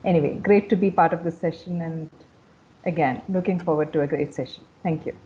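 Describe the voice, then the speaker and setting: A woman's voice: low voice, a little fast, a little hiss or hum. A woman from India introducing herself on a video-call panel in a friendly, plain way. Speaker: software company staff, panelist. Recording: dull lo-fi call audio.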